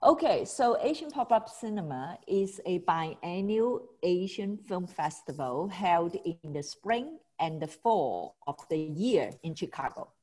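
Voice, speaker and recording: A woman's voice: fairly low pitch, sing-song, a little slow, few pauses, a little mellow. A middle-aged woman from Hong Kong, guest in a casual interview, talking with enthusiasm, many restarts and small laughs. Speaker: film festival founder, guest.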